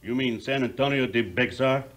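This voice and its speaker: An actor playing a courteous, cautious Mexican traveler with a Mexican Spanish accent in a 1950s audio play. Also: male voice, medium pitch, moderate pace, a bit bright, lightly acted. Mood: polite, warning.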